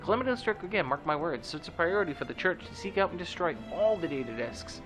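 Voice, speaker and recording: A man's voice: a little high, lively intonation, quick pace, music in the background, voice pushed up, lightly acted. A man with a stuffy, nasal voice reading out a preachy church official who warns of dangerous old technology.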